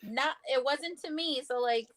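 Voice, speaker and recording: A woman's voice: high-pitched, a little slow, fairly bright tone. A woman speaking informally as a guest in a casual book discussion. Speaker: guest panelist.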